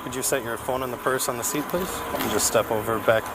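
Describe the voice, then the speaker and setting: A man's voice: medium pitch, fast talker. A man speaking as a sheriff's deputy at a traffic stop, matter-of-fact and clipped, with procedural questions and instructions. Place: roadside at night. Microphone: body-worn camera. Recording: very noisy outdoor police bodycam.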